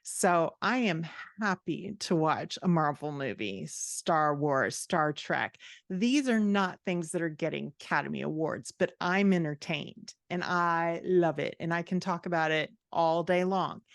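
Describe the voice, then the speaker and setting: A woman's voice: fairly low pitch, measured pace, few pauses, no room sound, clean studio sound. A woman guest talking casually and expressively in an interview, giving her opinions in informal language. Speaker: podcast guest, fantasy author and writing coach.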